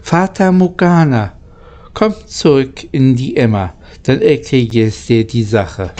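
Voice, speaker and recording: A man's voice: mid-range voice, takes it slowly, dark tone, close to an everyday voice. A man reading the part of an easygoing, plain-spoken locomotive driver in a children's storybook. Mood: knowing, amused. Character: easygoing adult male locomotive driver explaining things.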